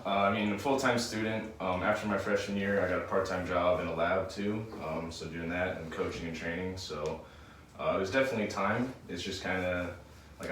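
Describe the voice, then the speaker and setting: Low-voiced young man in an echoey room. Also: a bit bright. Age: early 20s. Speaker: gym coach and student, podcast guest. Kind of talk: casual chat. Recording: echoey room, distant mic, faint noise.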